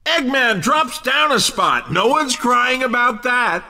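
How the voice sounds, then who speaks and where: A man voicing an excitable, cheeky racing commentator in a video game, with punchy, exclamatory, theatrical delivery. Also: extremely high pitch, slow pace, distant mic, full character voice. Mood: excited, teasing. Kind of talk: excited sports-style race commentary.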